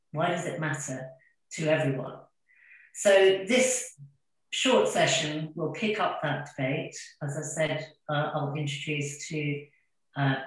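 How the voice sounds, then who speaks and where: A woman chairing an online panel over a video call, introducing a film with measured speech and some fillers. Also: low voice. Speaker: event chair. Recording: echoey room, distant from mic.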